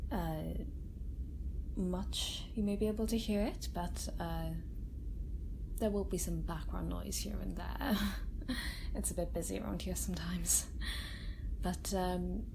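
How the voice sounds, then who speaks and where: Slow, gentle woman acting a kind female doctor. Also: lowish voice, creaky, background noise. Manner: reassuring, hesitant, with soft chuckles. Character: kind, gentle female scientist doctor examining an alien patient. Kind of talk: soft-spoken ASMR roleplay. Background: sci-fi facility ambience.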